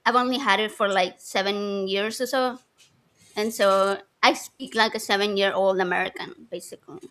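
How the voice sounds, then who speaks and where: A woman's voice: mid-range voice, fairly even intonation, normal speed, fairly bright tone. A woman with an Argentinian accent chatting casually and laughing easily. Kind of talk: casual chat.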